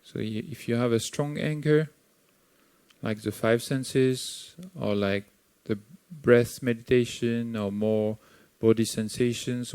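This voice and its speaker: Slow calm meditation teacher, man. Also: mid-range voice, smooth, no room sound. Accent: Sri Lankan. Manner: slow, calm, gently instructive with long pauses. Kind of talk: guided meditation.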